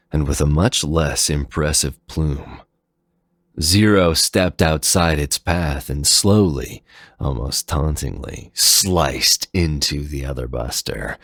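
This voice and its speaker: Very deep-voiced, slow man narrating a story. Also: fairly bright tone, dry recording, studio quality. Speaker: narrator of fan fiction. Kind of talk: dramatic scripted story narration.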